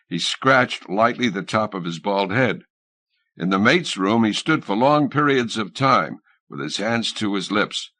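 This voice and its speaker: A man's voice: on the low side, pushed and bright, dry recording. A man reading literary fiction aloud as a scripted audiobook narrator, recorded close to the microphone. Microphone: close studio microphone, dry sound.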